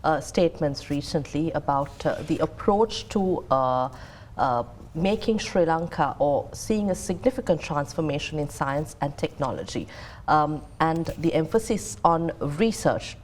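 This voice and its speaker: A woman hosting a talk show, asking measured questions with many fillers. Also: deep voice, expressive, slight background noise. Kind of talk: interviewing.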